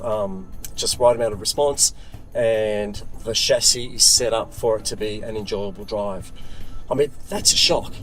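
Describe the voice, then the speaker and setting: A man's voice: medium pitch, low-quality recording. A middle-aged man reviewing a car in a conversational, emphatic way with dry humour. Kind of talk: car review presented to camera.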